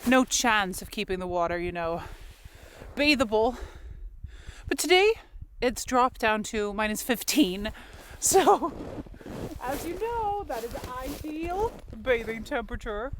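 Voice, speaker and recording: A woman's voice: on the high side, lively intonation, measured pace, pauses often, noisy background. A woman in her thirties whose first language is Swedish, chatting casually in a vlog outdoors in the freezing cold, laughing often. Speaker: vlogger.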